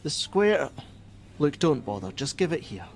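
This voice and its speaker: A man's voice: on the high side, very animated, unhurried, vocal fry, darkish tone, a little hiss or hum, heavily acted. A middle-aged man, playing an irritable, evasive father who works on a helpline in a radio play. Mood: angry, exasperated. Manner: curt, sarcastic. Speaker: helpline worker.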